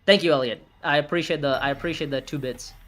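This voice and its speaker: A man in his early twenties streaming a board game, thinking his moves aloud, reacting loudly and swearing at bad turns. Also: fairly high pitch, fairly even intonation, quick pace. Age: 20s. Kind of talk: live gameplay commentary, thinking aloud.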